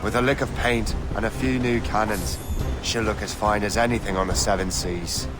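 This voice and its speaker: A man's voice: fairly low pitch, fairly even intonation, moderate pace, natural voice. A man playing a cocky, good-humoured young Irish sailor captain in a video game, with an Irish accent and a wry, breezy manner. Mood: proud. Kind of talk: video game cutscene acting. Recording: loud music behind the voice.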